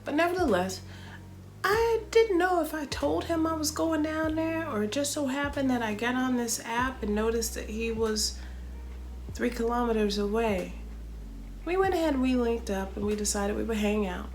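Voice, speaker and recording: A woman from Chicago, a comedian, narrating a travel story in a chatty, animated storytelling way with playful asides. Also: a little high, normal speed, slight background noise. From Chicago, United States.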